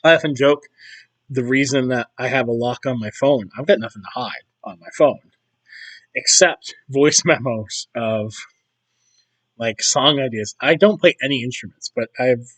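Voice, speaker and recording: A man with a hoarse, worn-out voice talks through a video game session at an ordinary mid pitch. He rambles from one thought to the next and keeps clearing his throat. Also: a bit bright, poor audio. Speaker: gaming YouTuber. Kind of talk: casual commentary over gameplay.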